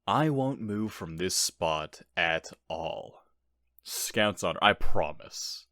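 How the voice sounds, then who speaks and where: A man's voice: deep voice, lively intonation, slow pace, pushed and bright, no room sound, clean studio sound, exaggerated voice. A man playing a nervous, bumbling burglar in an audio roleplay, stammering and bluffing with theatrical emphasis and indignation. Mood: wheedling. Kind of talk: ASMR audio roleplay acting.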